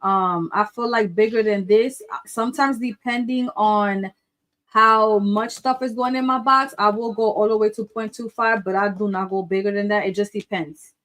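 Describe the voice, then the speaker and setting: An excitable woman from the Dominican Republic runs a live craft tutorial, talking straight to her viewers. She chats fast and loose, says y'all a lot and drops in a bit of Spanish, with a nervous edge to her voice. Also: mid-range voice. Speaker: craft livestream host. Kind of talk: live craft tutorial with chat shout-outs.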